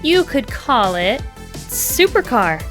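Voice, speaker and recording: A woman's voice: a little high, sing-song, slow pace, a bit bright, faint music behind, heavily acted. A woman voicing a confident, helpful female android agent in a comic dub, earnest and composed.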